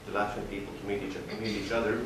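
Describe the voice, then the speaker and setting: A man speaking for a diaspora organization on a panel, halting and full of filler sounds. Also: on the low side, flat delivery. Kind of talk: panel discussion. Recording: echoey hall, mic far off, background noise.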